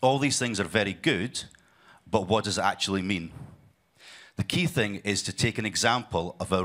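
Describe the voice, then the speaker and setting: Low-voiced man giving a talk. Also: a bit bright. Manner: loose, repetitive, with many fillers and restarts. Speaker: conference speaker, sales leader. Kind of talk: conference presentation.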